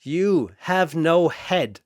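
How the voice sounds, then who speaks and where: A calm, terrifying young spirit medium voiced by a man in a fantasy tale. She accuses without mercy, slowly and in a slightly high register. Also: no room sound, studio quality. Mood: cold accusation.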